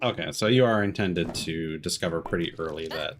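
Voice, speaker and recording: A man giving casual live video game commentary, rambling and thinking out loud as he plays. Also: sits low, brisk, a little hiss or hum. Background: game music.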